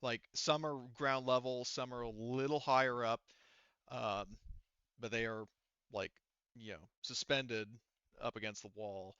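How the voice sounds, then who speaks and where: A man narrating a tabletop game as game master, casually and haltingly, with informal, sweary phrasing. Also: medium pitch, steady tone, a bit bright, clear, resonant tone, dry recording, dull audio.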